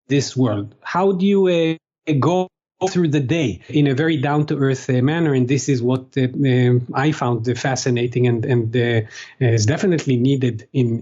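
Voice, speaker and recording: A man whose first language is Hebrew, answering interview questions as a translator, pausing often with fillers and restarts. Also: mid-range voice, a little mellow, dull audio. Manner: halting, many fillers and restarts. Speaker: guest, translator.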